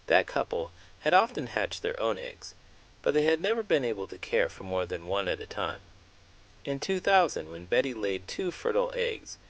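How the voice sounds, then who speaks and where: A man hosting a children's read-aloud, speaking in an expressive, playful storytelling style. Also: fairly low pitch, rough recording. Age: adult parent.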